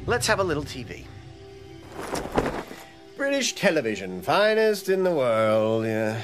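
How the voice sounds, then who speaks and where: Anxious young man, muttered clipped monologue, swooping pitch, horror radio play, loud music underneath. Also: wildly swooping pitch, a little slow. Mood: restless, bored. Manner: muttering to himself in short clipped phrases. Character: restless young man anxious about becoming a werewolf.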